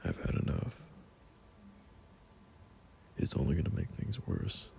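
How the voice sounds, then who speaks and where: A man playing a gentle, caring boyfriend at bedtime in an intimate ASMR roleplay, speaking softly in a breathy half-whisper with hesitant pauses. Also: slight background noise, lightly acted. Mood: worried, firm. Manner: soft, slow, hesitant, reassuring.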